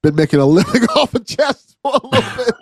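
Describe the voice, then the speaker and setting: A man's voice: very high voice, very animated, fairly quick. A man hosting a casual interview, asking curious questions in an informal, impressed way. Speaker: podcast host.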